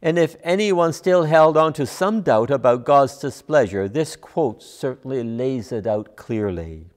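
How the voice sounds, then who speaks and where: Older male preacher, unhurried and deliberate sermon delivery, long church reverb. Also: mid-range voice, expressive. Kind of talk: sermon, scripted and measured. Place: large church sanctuary, at a lectern. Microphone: lapel mic.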